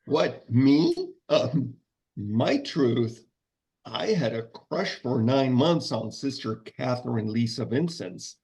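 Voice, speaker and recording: A man reading the part of a mild, literal-minded older monk at a table read, earnest and deadpan. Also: mid-range voice, takes it slowly, husky, exaggerated voice. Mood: sheepish. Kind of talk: informal script table read.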